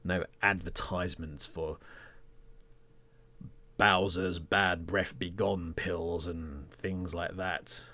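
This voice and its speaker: Very deep-voiced man hosting a podcast. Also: fairly even intonation, slow pace, pushed and bright. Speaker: podcast host and presenter. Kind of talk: reflective podcast monologue.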